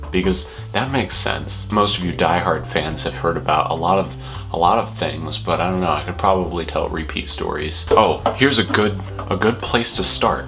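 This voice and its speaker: A young man with a very deep voice talks to camera as a vlogger. He rambles casually from one little story to the next with dry, self-deprecating wit. Faint music sits behind his voice. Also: a bit bright. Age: young adult.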